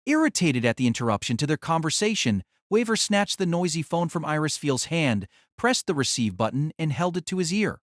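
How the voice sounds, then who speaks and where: Male narrator for dramatic novel narration, slightly high register, close dry recording. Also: sing-song, a little fast, few pauses, no room sound.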